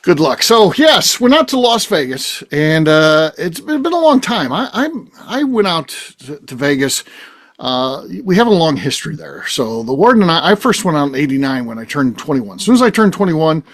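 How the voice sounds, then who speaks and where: A man's voice: fairly high pitch, very animated, normal speed, fairly bright tone. A man in his fifties hosting a podcast, chatting casually and rambling with many restarts and fillers. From United States. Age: 50s. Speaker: podcast host. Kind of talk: casual chat.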